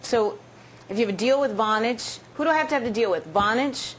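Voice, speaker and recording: A woman's voice: on the high side, brisk, a little hiss or hum, rough recording. A woman working as a TV interviewer, asking questions in an informal, chatty way on a live business news show. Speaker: TV interviewer, journalist.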